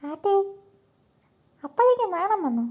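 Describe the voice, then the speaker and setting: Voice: very high voice, sing-song, unhurried, a little mellow, heavily acted. A performer voicing a cheeky, teasing teenage boy in a comic cartoon, on a muffled, noisy track. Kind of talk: comic Malayalam cartoon dubbing. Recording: muffled lo-fi audio with noise.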